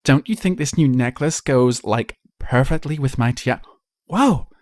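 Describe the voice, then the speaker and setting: A man voicing an excitable, star-struck young girl pony in a storybook reading, gushing like a valley girl. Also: mid-range voice, unhurried, no room sound, clean studio sound, voice pushed up, lightly acted. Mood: chatty, then thrilled.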